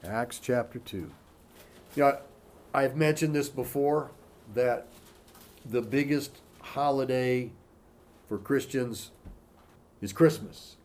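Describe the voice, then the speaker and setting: A man of middle pitch teaching a congregation in an easygoing, conversational manner. He reads scripture aloud, then drifts into loose asides full of "you know" fillers. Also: slow pace, pauses often, darkish tone, slight background noise. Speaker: pastor.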